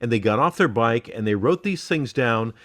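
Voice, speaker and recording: A man's voice: mid-range voice, animated, normal speed, no echo, clean studio sound. A man co-hosting a podcast in casual comic banter, riffing with mock exasperation and repeating phrases for emphasis. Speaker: podcast co-host.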